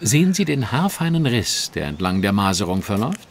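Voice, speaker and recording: An actor playing a confident, sardonic gentleman detective who instructs and teases dryly in an audio drama. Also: lowish voice, sing-song, fairly quick, a little hiss or hum. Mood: composed, instructive. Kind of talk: German audio drama acting.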